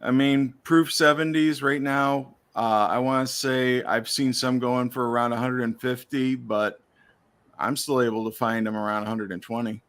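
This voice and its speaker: Man losing his voice, steady explainer. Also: medium pitch, moderate pace. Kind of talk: casual chat about coins.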